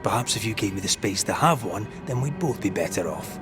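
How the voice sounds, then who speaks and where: A man's voice: on the low side, lively intonation, fairly quick, over music, lightly acted. A male actor playing a dry, sardonic ghost hunter in a video game, in a naturalistic style. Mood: wry, investigative. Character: wry, gruff adult male ghost hunter.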